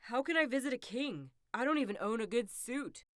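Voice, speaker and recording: A woman's voice: fairly high pitch, lively intonation, brisk, a bit bright, no echo, clean studio sound. A woman voicing a poor, skeptical young peasant man who speaks plainly. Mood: doubtful.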